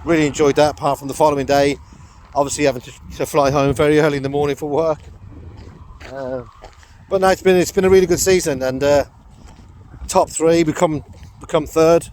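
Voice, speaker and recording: Croaky-voiced man vlogging outdoors. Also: on the high side, steady tone, brisk, pauses often, darkish tone, slight background noise. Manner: rambling, chatty, lots of fillers and laughs. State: croaky throat. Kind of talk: casual walking vlog. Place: outdoors on a walk with a dog.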